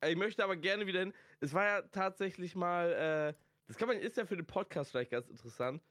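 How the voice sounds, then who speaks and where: Man, slightly high dry voice. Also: brisk, fairly bright tone, no echo. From northern Germany. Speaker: podcast guest. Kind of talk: casual chat.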